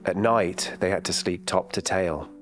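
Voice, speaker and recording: A man reading a children's bedtime story in a calm, gentle storytelling manner. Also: low voice, measured pace, music in the background.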